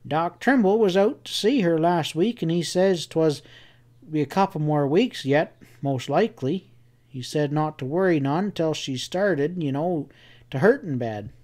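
A man voicing a stubborn, excitable cowboy in a Western audiobook, with a harsh rasp and a folksy drawl. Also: fairly high pitch, a little slow, pitched above the performer's own voice, natural voice. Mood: reassuring. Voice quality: harsh, rasping. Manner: rustic Western drawl, folksy bad grammar. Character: gruff cowboy friend Sam, stubborn, excitable, fiercely loyal.

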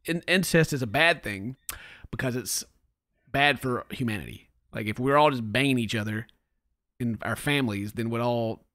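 A man in his forties giving sarcastic, animated reaction commentary from a home room on a boom microphone. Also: moderate pace. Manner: sarcastic, animated, mocking voices. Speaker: reaction channel host. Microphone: studio mic on boom arm.

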